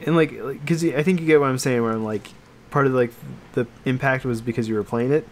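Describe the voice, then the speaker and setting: A man co-hosting a casual podcast chat about games and films, talking in a halting, meandering way that trails off. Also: mid-range voice. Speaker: podcast co-host.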